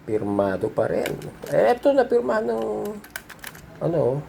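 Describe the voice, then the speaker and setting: A man's voice: on the high side, wildly swooping pitch, unhurried, gravelly, noisy background. A man with a Filipino accent hosting a hobby vlog, chatting informally and repeating himself as he shows things. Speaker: host of a vintage audio video channel. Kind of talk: casual show-and-tell vlog chat.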